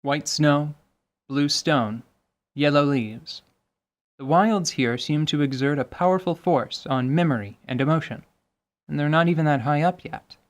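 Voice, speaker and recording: A man's voice: a little high, a little slow. A man reading scripted dramatic narration for a fantasy tabletop story told as radio theatre.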